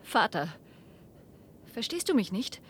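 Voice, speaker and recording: An actress playing an anxious grown daughter in a radio play, pleading with urgent, halting delivery. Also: female voice, very animated. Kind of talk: German radio play acting. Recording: rumbly, noisy archive radio recording.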